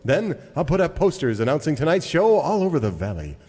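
A lively, hearty cowboy hero. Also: male voice, fairly high pitch, fairly quick, breathy edge, big-room sound, exaggerated voice. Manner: hearty, earnest, take-charge. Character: upbeat, upright singing cowboy leader, male. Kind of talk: parody of old western radio serial.

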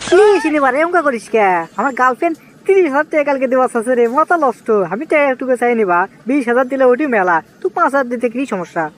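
A man voicing an excitable, scheming young village man in a comic cartoon, with a Bogura accent from northern Bangladesh and an exclamatory, wheedling manner. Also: extremely high pitch, fast talker, a little hiss or hum. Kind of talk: comic cartoon dialogue in rural dialect.

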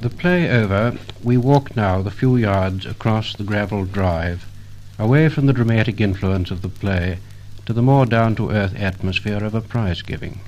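A British man narrating a scripted 1950 commemorative record in a solemn, measured, literary manner. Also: lowish voice, a little mellow, a little hiss or hum, rough recording.

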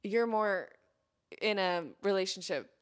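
A woman's voice: mid-range voice, a little slow, smooth. A young adult woman co-hosting a podcast, chatting casually with a friend into a close handheld mic. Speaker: podcast co-host. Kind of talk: casual chat between friends. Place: small bedroom, sitting on a bed. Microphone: handheld microphone held close.

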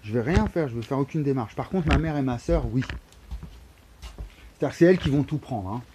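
Rambling man, dark voice. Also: mid-range voice, lively intonation, brisk, slight background noise. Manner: rambling, repeats words, many fillers, emphatic bursts. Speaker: vlogger. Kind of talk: rambling monologue to camera. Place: wooden shed or barn, indoors. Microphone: phone camera held low at arm's length.

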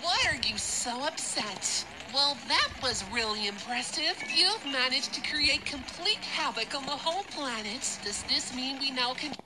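High, sassy game girl. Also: animated, unhurried, few pauses, background noise. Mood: teasing. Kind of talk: video game voice acting.

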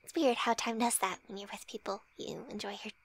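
A woman playing a flirty, shy, teasing best friend in an intimate ASMR roleplay, with breathy, hesitant, soft speech. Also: medium pitch, steady tone, fairly quick, lightly acted. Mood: casual, happy. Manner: breathy whispering, hesitant, giggly.

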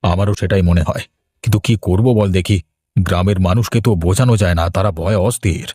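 A timid village dad in middle age, played by a man for a horror cartoon. He has a low, husky voice and talks quickly and fretfully, fond but easily scared. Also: warm tone, no room sound, clean studio sound. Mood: concerned. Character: timid, superstitious village father, middle-aged, fond of his daughter. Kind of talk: animated horror story acting.

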